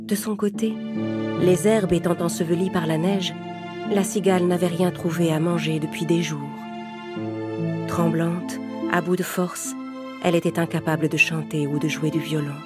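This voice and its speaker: A woman's voice: fairly low pitch, steady tone, normal speed. A woman narrating a scripted children's fable in French storybook style. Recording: loud music behind the voice. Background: music.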